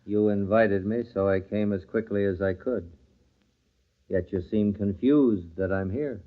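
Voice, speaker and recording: An actor playing a coldly possessive, menacing wronged husband in an old radio thriller, speaking deliberately and ominously. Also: male voice, lowish voice, fairly even intonation, close to an everyday voice. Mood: cold suspicion. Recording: thin, phone-like old radio recording.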